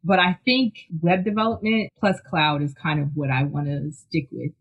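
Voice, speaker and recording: A person from Philadelphia, a guest talking in an enthusiastic, chatty way full of "like" and quick laughs. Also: medium pitch, fairly even intonation, vocal fry, slightly rough, phone-quality sound. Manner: enthusiastic, chatty, filler-heavy, laughs often. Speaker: guest, technical writer. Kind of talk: casual interview chat.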